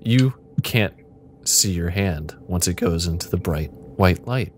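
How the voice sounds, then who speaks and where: A low-voiced man acts as game master for an improvised story game. His pitch swings around a lot as he slips between narration and casual banter. There is noticeable background noise in the room. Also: lively intonation, measured pace. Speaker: game master hosting an interactive fiction game.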